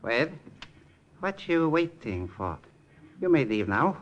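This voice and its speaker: An actor playing a suspicious, curt Japanese army officer in an old radio drama, speaking clipped broken English. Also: male voice, mid-range voice, sing-song, slow pace, lo-fi sound. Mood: curt impatience. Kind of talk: 1950s radio drama acting. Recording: old radio recording with faint noise.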